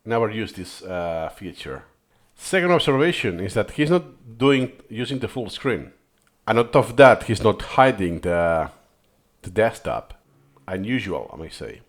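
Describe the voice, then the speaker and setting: Catalan-native guy reacting to a clip, voice bouncing up and down, thinking out loud. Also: mid-range voice. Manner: thinks aloud, digresses, corrects himself mid-sentence. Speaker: video host reviewing footage. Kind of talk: thinking-aloud commentary.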